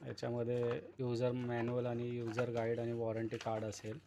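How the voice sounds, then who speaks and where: A man's voice: mid-range voice, completely flat delivery, moderate pace, dark tone, a little hiss or hum. A man giving casual, explanatory unboxing commentary as a vlogger, addressing viewers as friends. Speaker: vlogger presenting a product unboxing.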